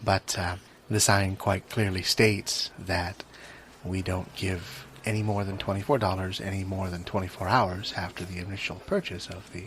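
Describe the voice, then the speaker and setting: A placating, decorous store manager played by a man with a low voice. He is measured and hesitant yet condescending, in an improvised comedy sketch with audible background ambience. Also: steady tone, fairly bright tone. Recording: clear background ambience noise.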